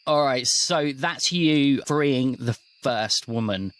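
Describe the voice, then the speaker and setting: Slow-paced man running a tabletop game. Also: medium pitch, fairly bright tone. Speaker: game master. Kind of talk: improvised tabletop game narration.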